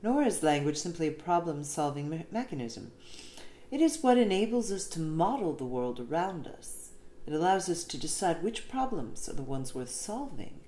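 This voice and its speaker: Female essay narrator, slightly low register, very animated intonation, emphatic phrasing, recorded in a roomy space. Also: normal speed. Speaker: reader of an essay. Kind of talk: scripted narration, essay read aloud. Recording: roomy echo, faint background noise.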